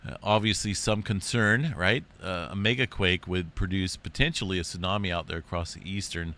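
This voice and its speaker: Sick guy talking quakes, deep stuffy voice but bright edge, rambly and chatty. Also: normal speed. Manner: rambling, chatty, says "right?" and "big time" often. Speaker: earthquake and space weather channel host. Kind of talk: casual screen-share update.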